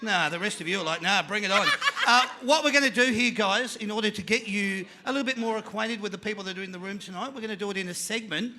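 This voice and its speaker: A man with an Australian accent hosting a live panel show, energetic and jokey, calling out to a crowd in the venue. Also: high voice, fast talker, few pauses, noisy background.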